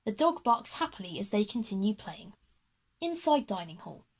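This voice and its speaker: A woman's voice: medium pitch, very animated, normal speed, breathy edge. A woman narrating an audio drama, reading scripted stage directions.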